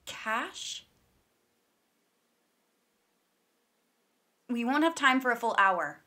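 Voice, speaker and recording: A woman's voice: fairly high pitch, very animated, a little slow, sharp tone, heavily acted. A woman playing a guarded, blunt massage therapist in an audio play, speaking firmly and curtly. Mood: wary, relenting. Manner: firm, curt.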